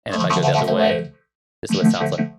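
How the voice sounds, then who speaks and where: A man's voice: low-pitched, monotone, fast, over loud music. Guy talking as a robot voice, full vocoder effect, synthy notes, plain demo delivery. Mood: flat demonstrative. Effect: vocoder robot filter on synth notes.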